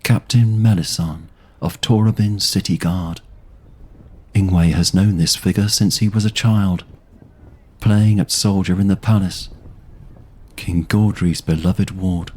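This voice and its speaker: A man narrating a scripted fantasy audio drama in a measured, literary storytelling manner. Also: low-pitched, steady tone, dark tone, husky.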